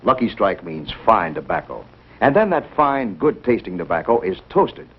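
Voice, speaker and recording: A man delivers a 1950s scripted commercial as an announcer. His voice is husky and swings in pitch as he stresses the sales points. The recording is dull and dated. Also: very animated, normal speed. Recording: dull 1950s broadcast sound.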